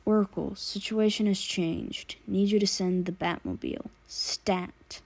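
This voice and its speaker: A woman acts out a young male vigilante in a superhero audiobook. He sounds brisk, easygoing and decisive, with a projected delivery and a mellow tone. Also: medium pitch, fairly even intonation. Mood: urgent.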